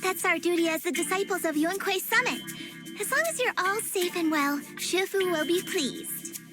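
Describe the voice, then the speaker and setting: Eager, childlike, very high-pitched tiger girl. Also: normal speed. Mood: earnest. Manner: earnest, bold, polite. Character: eager young tiger-girl martial arts heroine, brave and earnest. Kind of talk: video game voice acting. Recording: loud background music.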